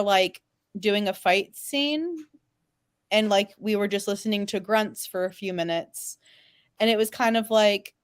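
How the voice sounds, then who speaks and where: A woman panelist chatting casually in a halting, informal way with many filler words. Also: mid-range voice, no echo, clean studio sound.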